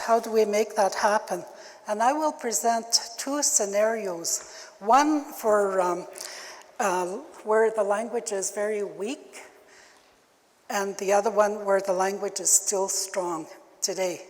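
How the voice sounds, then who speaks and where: An older woman who grew up speaking Ojibwe, giving a keynote talk at a conference in a slow, halting way with many fillers. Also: medium pitch. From Canada. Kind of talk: keynote address. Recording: long hall reverb.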